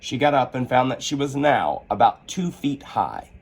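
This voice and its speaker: A man reading a children's storybook aloud as an expressive storyteller. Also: medium pitch, moderate pace, slightly rough.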